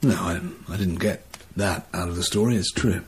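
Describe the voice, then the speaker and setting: An actor in a radio drama playing a curious, easygoing gentleman adventurer with a husky voice. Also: sits low, moderate pace, slight background noise, natural voice. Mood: easy, curious.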